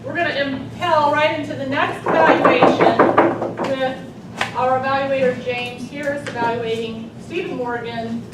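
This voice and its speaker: Lady briskly announcing the next evaluator, echoey room, lots of background noise. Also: high voice, steady tone. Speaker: general evaluator running the session. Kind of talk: formal meeting introductions. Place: indoor meeting room. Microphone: distant room mic. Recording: roomy, distant, loud-noise room recording.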